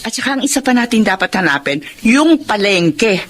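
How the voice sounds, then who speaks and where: A woman's voice: medium pitch, sing-song, moderate pace, a little hiss or hum, natural voice. A woman playing a practical, upbeat middle-aged townswoman with a Filipino accent in a radio drama. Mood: practical, resolved.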